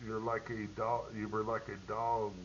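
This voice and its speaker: A middle-aged man, a military veteran, telling a rambling personal war story, halting with many ums and restarts. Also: fairly low pitch, completely flat delivery. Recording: dull lo-fi audio with faint hiss.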